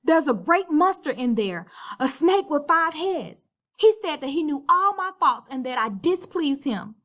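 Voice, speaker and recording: A woman's voice: sits high, very animated, unhurried, a bit bright, pitched above the performer's own voice, full character voice. A woman voicing a hysterical, frightened young woman in a storybook read-aloud, crying out and pleading. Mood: frantic pleading.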